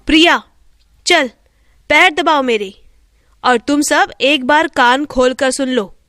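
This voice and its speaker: A woman's voice: high voice, slow, sharp tone, no echo, studio quality, exaggerated voice. A woman voicing a cruel, greedy schoolteacher in a storybook tale, bossy and threatening in her delivery.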